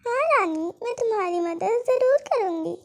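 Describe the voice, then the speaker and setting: Cartoon dove, played by a narrator: kind female friend, sky-high pitch, eager and concerned. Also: expressive, normal speed. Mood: kindly. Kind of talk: children's cartoon story dialogue.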